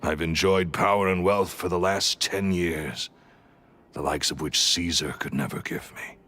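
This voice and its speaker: A man's voice: low voice, unhurried, sharp tone, exaggerated voice. An actor playing a resentful male magician's apprentice turned thief, confessing in a brooding, bitter way in a video game. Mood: resigned. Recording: faint music behind.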